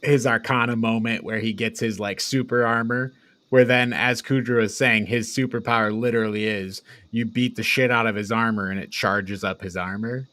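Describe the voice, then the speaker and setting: A man with a slightly low voice discusses a film in a casual, conversational way. The sound is dry, as befits a podcast co-host. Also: steady tone, normal speed, pushed and bright, no room sound.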